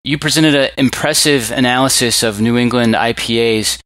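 A man hosting a podcast, reading a scripted intro and asking a guest questions in a friendly, measured way. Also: mid-range voice, a bit bright, no echo. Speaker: podcast host.

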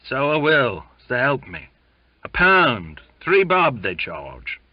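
A man's voice: mid-range voice, huge pitch swings, slow pace, dry recording, recorded from a distance, dull audio, pitched above the performer's own voice, exaggerated voice. A man voicing a whining, conniving sailor with a yelping, conciliating manner in a storybook reading. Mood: wheedling. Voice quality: whiny, yelping. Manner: conciliating, wheedling working-class sailor talk. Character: whining, conniving sickly sailor, pleading to get his way.

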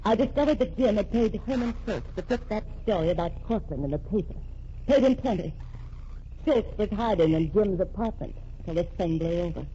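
An actress playing a sharp young woman reporter who calmly accuses a killer, in a 1940s radio drama. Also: mid-range voice, natural voice. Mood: explaining. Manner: cool, accusing, deliberate. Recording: dull old radio recording with faint hiss.

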